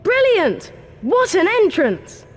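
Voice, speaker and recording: A woman voicing an excitable, cheeky young schoolboy wizard in a video game. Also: very high-pitched, takes it slowly, in a large hall, exaggerated voice. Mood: excited.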